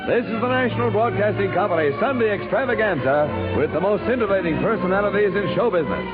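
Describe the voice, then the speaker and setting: A man announcing a 1950s radio show from a script in a grand, showy manner. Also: sits high. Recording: tinny old radio, loud music. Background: loud music.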